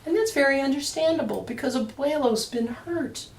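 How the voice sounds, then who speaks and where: A woman reading a rhyming picture book aloud for children, expressively, with dramatic emphasis and deliberate pauses. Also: sits high, a little mellow, slight background noise. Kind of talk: expressive storytime read-aloud of rhyming verse. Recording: roomy echo, distant mic.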